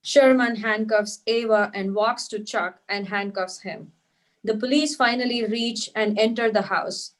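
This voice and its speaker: A woman's voice: on the high side, steady tone, measured pace, rough recording. A woman narrating stage directions at a script table read.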